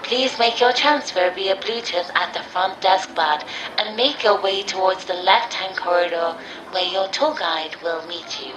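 A woman's voice: mid-range voice, unhurried, noisy background, close to an everyday voice. A woman playing a formal, welcoming announcement voice for a futuristic museum, reading a scripted public-address greeting. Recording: echoey, distant announcement over prominent music.

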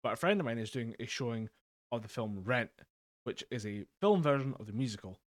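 A man's voice: medium pitch, expressive, a little fast, dry recording, studio quality. Rambling man on a podcast. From Scotland. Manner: rambling storyteller, frequent swearing and laughing. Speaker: podcast co-host. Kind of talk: casual chat. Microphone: home mic over a voice call.

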